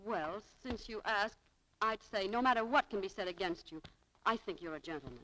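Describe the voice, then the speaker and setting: Woman playing young shopgirl, wheedling, spirited, touchy, bright forward voice, 1940s radio drama. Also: mid-range voice, moderate pace, lightly acted, low-quality recording. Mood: eager, flattering. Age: young adult. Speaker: radio actress in a romantic comedy. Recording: vintage 1940s radio broadcast.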